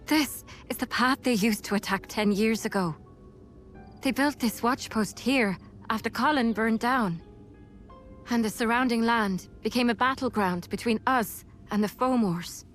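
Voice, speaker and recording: A woman's voice: a little high, measured pace, lightly acted. A woman playing a seasoned, easygoing female mercenary in a fantasy video game cutscene. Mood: grave, pensive. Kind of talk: English game cutscene voice acting. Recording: music behind the voice.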